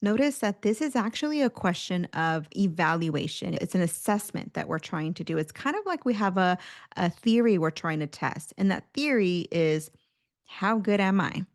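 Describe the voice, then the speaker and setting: A woman's voice: on the low side, expressive, moderate pace. A middle-aged woman, a career coach hosting a podcast, talking in a casual, encouraging way with plenty of "like" and "you know".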